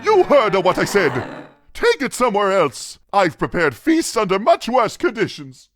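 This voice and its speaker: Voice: mid-range voice, huge pitch swings, normal speed, dry recording, studio quality. An actor voicing a stubborn, flustered alien head chef in a sci-fi comedy audio drama, with a mock Italian accent and stumbling speech that mixes in foreign words. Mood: indignant. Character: blustery, stubborn male alien head chef with many arms.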